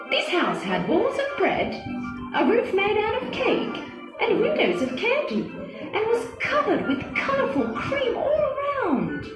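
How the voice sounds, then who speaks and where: A woman narrating a scripted children's story in an expressive, dramatic manner. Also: extremely high pitch. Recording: echoey with music behind.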